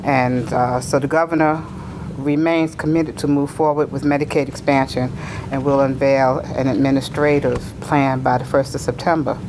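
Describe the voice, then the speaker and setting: Low-voiced woman, a bit raspy. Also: flat delivery, normal speed. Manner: formal, reading from slides. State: slightly raspy from illness. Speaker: budget presenter. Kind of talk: formal council presentation. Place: city council chamber. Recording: noisy council chamber audio.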